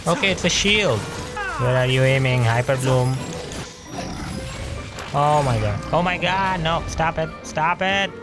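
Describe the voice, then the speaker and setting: A man's voice: a little high, lively intonation, a little slow, pauses often. A man giving casual commentary as he plays a video game, reacting in short muttered phrases. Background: game music.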